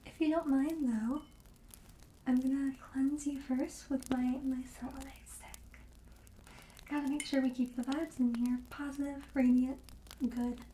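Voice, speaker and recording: Soft, high-voiced, slow witch shopkeeper, played by a woman. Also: little pitch movement, reverberant, a little hiss or hum, rough recording. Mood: soothing, welcoming. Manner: gentle, hesitant, unhurried, drifting into whispers. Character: friendly, soothing witch shopkeeper selling curious wares. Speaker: ASMR roleplay performer. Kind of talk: soft-spoken ASMR roleplay.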